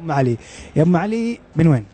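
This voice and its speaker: A man with a Yemeni accent interviewing passersby in the street with brisk, upbeat questions. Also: a little high, big pitch swings, dark tone, a little hiss or hum. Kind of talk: street vox pop interviews.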